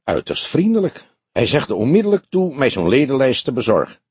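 Guy doing a young detective sidekick, eager n restless, lively pitch, slightly husky, audiobook. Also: a little fast, no echo. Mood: eager, pondering.

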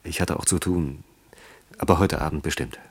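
Radio play casting: torn, reassuring man in love, bass-deep rough-edged voice, few words. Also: moderate pace, no echo. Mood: resolved, weary. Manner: terse, low-key, reassuring. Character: guarded male lover, torn but soothing. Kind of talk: German radio play acting.

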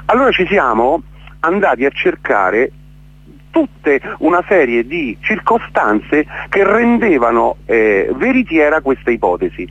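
A man's voice: a little high, fairly bright tone, no room sound. A man being interviewed on the radio as an event organiser, explaining emphatically and with emotion, repeating words for stress. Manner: impassioned, emphatic, repeats words, checks with "capito?". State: emotionally worked up. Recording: dull, lo-fi radio sound.

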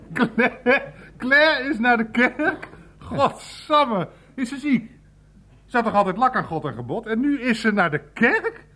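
A man's voice: very high voice, lively intonation, slow pace, pauses often, pushed and bright, full character voice. A man playing a blunt, irreverent older uncle in a naturalistic radio play, grumbling and swearing as he rambles. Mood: incredulous, indignant.

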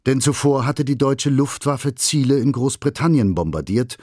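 Guy narrating a history documentary, factual and measured, dry studio sound. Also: mid-range voice, lively intonation, a little mellow, dry recording.

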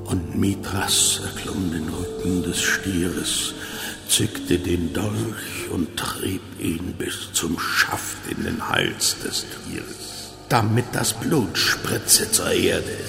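Solemn gravelly guy chanting out some dark ancient myth, super slow, loud music behind. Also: deep voice, very animated, heavily acted. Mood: solemn, ominous. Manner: solemn, portentous. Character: solemn male teller of a bloody ancient myth. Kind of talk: incantatory, biblical-style radio drama narration.

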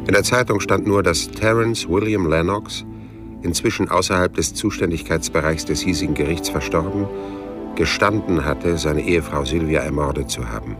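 A world-weary noir private eye, super low deadpan voice, telling his case over guitar music. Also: moderate pace. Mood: laconic, sober. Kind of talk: noir radio play first-person narration.

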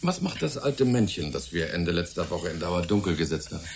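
Radio drama: learned middle-aged gentleman scientist, low and gravelly, fatherly, relishing his phrases. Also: big pitch swings, brisk, slight background noise, heavily acted. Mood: genial, absorbed. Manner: erudite, savoring his words, chuckling. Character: genial, enthusiastic older titled scientist, Michael's fatherly senior. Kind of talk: German radio drama dialogue.

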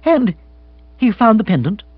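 A man voicing an excitable, hearty young lord. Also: high voice, moderate pace, breathy edge, dry recording, phone-quality sound, pitched above the performer's own voice, heavily acted. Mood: astonished.

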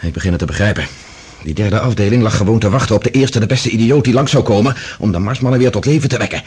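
A man's voice: on the low side, fast, a little mellow, breathy edge. A male actor playing a concerned, decisive man asking serious questions in a science fiction radio play. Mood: alarmed, resolute. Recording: faint background noise and ambience.

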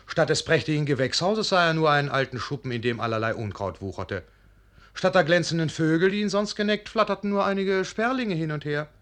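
An actor as an urbane, expressive narrator telling a literary tale in a radio drama. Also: male voice, fairly high pitch, brisk. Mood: wry, measured. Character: urbane, expressive male storyteller.

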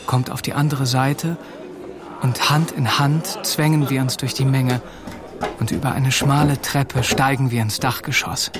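An actor playing a young soldier, dry and understated, in a radio play. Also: male voice, medium pitch, fairly even intonation, a little slow, a crowd in the background, lightly acted. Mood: reflective. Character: young soldier telling his own story, wry. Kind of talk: radio play acting with first-person narration.

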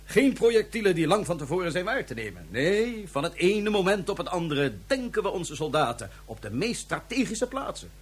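Pompous high-pitched megalomaniac dictator. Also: male voice, very animated, normal speed, hardly any pauses, husky, heavily acted. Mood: grandiose gloating. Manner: pompous, mockingly polite. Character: smug megalomaniac dictator plotting to seize the solar system. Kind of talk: radio drama acting.

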